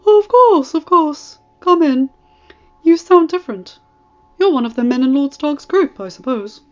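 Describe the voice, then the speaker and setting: A woman's voice: high voice, expressive, measured pace, voice pushed up. A woman voicing a sleepy, elderly male maester in a fantasy audiobook. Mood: welcoming. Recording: dull audio with faint music.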